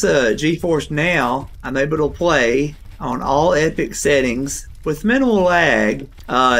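A man talks through his survival game session in a loose, rambling way. His voice is hoarse and gravelly from a recent bout of strep throat, sitting at a middling pitch. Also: a bit bright. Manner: chatty, rambling, laughs now and then. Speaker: gaming channel host. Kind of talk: casual gameplay commentary.

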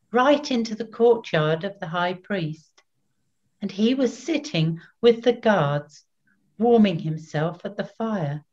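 An older woman reading scripture as narrator in a deliberate, solemn, scripted manner. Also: mid-range voice, a little mellow, dull audio. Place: small plain room at home.

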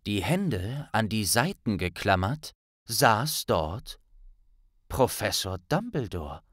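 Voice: medium pitch, no room sound, clean studio sound. An audiobook narrator reading a dramatic scripted story.

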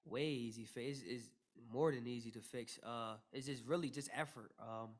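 A young man, a basketball player, answering questions at a postgame press conference into a table mic, with a steady delivery full of "you know" fillers and restarts. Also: mid-range voice, moderate pace. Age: young adult. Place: press room with logo backdrop. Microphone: table mic in front.

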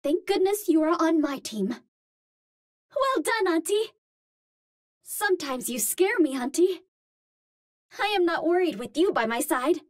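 A cute young female Martian explorer for a video game. Her voice is extremely high and girlish, with lively melodic swings. She speaks at an unhurried pace, choosing formal, precise words with earnest politeness. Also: dry recording, studio quality, heavily acted. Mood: admiring, grateful. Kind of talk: video game hero callouts.

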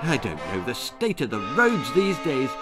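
A man's voice: medium pitch, wildly swooping pitch, normal speed. A man reading a story, voicing a frightened boy who chatters nervously, over music. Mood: panicky babble. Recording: narration over loud music.

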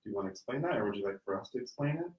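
Man from Ohio, admissions counselor, steady explainer, lowish voice, roomy echo. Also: very fast. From Cincinnati, Ohio. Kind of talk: informational panel talk. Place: meeting room with branded backdrop. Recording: echoey conference room.

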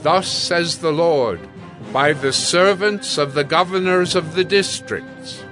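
An actor playing a solemn prophet delivering God's word in an oracular manner, in a dramatized audio Bible. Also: male voice, on the high side, slow pace, music in the background.

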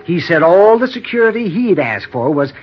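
A man's voice: high voice, very animated, normal speed. A male actor playing a timid, fussy, easily flustered insurance salesman in a 1950s radio drama, rambling as he goes. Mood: apologetic, fussy. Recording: dull, noisy vintage radio recording.

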